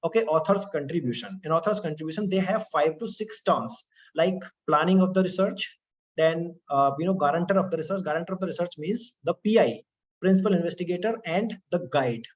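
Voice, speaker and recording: A man's voice: a little high, brisk, lo-fi sound. A man with an Indian English accent giving an online lecture to students, talking in a conversational way with frequent "okay" and "you know" fillers.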